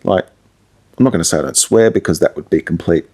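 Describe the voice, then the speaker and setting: A man's voice: deep voice, quick pace, darkish tone, husky. A middle-aged man, a radio presenter, giving casual, conversational advice in an interview.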